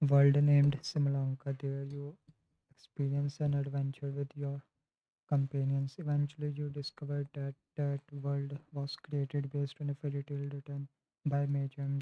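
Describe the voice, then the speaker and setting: A man with an Indian accent livestreaming a game, chatting casually and reading story text aloud. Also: mid-range voice. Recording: dull lo-fi stream mic, music behind. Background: game music.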